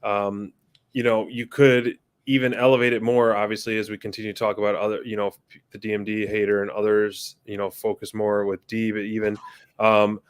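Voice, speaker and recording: Smooth, low-voiced male co-host. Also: little pitch movement, fairly quick, dry recording. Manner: laid-back, agreeable, many fillers. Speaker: podcast co-host. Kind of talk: casual recap chat.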